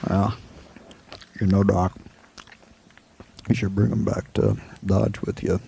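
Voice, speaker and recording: A man playing a laconic, easygoing frontier marshal in a western radio drama, with a halting, folksy, gravelly delivery. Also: fairly low pitch, level tone, warm tone, exaggerated voice. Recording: clearly noisy old 1984 amateur recording.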